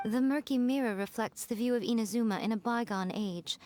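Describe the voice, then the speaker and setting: A woman voicing a sly, elegant fox spirit in a video game, teasing and coaxing in an unhurried, playfully condescending way. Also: fairly high pitch, darkish tone, slight background noise, lightly acted. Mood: poised, instructive. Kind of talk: video game character dialogue.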